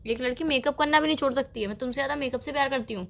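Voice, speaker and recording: A woman's voice: high-pitched, racing, vocal fry, a bit bright. A young woman playing a devoted but stubborn girlfriend, pleading and protesting in a conversational phone-call skit. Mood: protesting, playful. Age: young adult.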